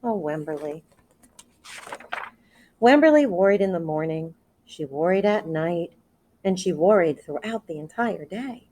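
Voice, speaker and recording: A woman reading a picture book aloud to children, expressive, with asides and questions to her listeners. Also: fairly low pitch, measured pace, long pauses. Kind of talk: read-aloud storytelling with commentary for children.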